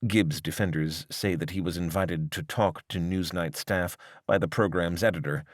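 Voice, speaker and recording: A man reading a scripted piece of long-form journalism as narrator, in a measured, articulate way. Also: low-pitched, fairly even intonation, no echo, studio quality.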